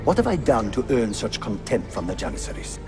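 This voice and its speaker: A man's voice: on the low side, sing-song, fairly quick, music in the background. A man playing a proud, resentful Ottoman prince in a video game, speaking in an imperious, indignant manner. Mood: accusing. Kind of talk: video game cutscene acting.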